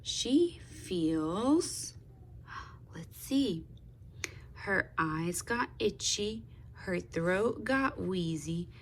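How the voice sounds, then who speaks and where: A woman reading a children's picture book aloud in an expressive, deliberate teaching way, pausing to put questions to young listeners. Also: fairly low pitch, slight background noise. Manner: slow, deliberate, with pauses. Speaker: speech therapist.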